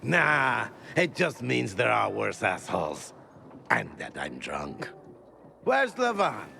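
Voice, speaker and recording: Gruff drunk video game dude, blunt, gravelly and slow, some noise behind him. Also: male voice, medium pitch, wildly swooping pitch, bright, forceful tone, full character voice. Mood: grudging, confiding. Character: gruff drunken man, blunt, grudgingly friendly, profane. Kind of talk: video game character acting.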